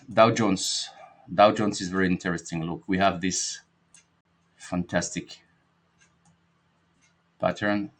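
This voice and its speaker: French guy with french accent, lowish voice, teaching chart analysis, kinda halting, repeats himself. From France. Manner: halting and repetitive, with frequent check-in questions. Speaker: trading instructor and market analyst. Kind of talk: informal spoken chart analysis lesson.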